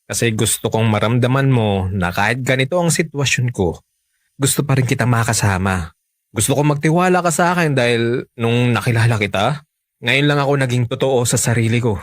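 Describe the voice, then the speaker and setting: A Filipino man acting in a radio romance drama as a smooth-talking, unfaithful married man, earnest and persuasive. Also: mid-range voice, a little fast, no room sound, natural voice. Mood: earnest pleading. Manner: coaxing, earnest.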